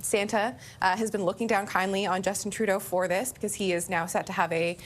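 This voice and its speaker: A woman's voice: mid-range voice, steady tone, a little fast, slight background noise. Easygoing woman panelist. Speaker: political panelist. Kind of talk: TV panel commentary.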